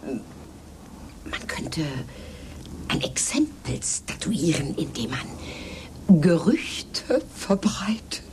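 Low, swooping, genteel lady. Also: very animated, very slow, pauses often, whispered, heavily acted. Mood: guarded. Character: genteel, hesitant, coolly arch lady hostess. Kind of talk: satirical radio play acting.

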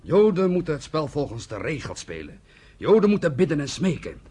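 An actor in a Dutch radio play voicing a bitter, defiant militant younger brother with scornful, declamatory delivery. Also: male voice, a little high, sing-song, fairly quick, warm tone, husky. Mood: bitter sarcasm.

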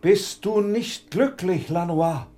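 A man acting a composed nobleman who addresses a spirit in a radio drama, earnest, formal and measured. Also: sits high, warm tone. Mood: earnest, moved. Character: composed nobleman questioning his dead friend's ghost.

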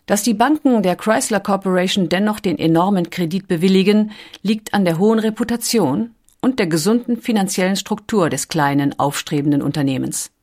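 A woman reading a documentary script as narrator, with a calm, clear storytelling delivery. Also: medium pitch.

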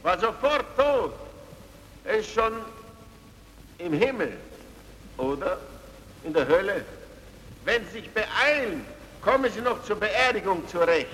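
An actor playing a talkative, blunt house porter in an old radio drama. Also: male voice, high voice, sing-song, slow, long pauses, a bit bright, full character voice. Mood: blunt, matter-of-fact. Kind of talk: 1950s radio drama acting. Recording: reverberant hall, noisy old radio production.

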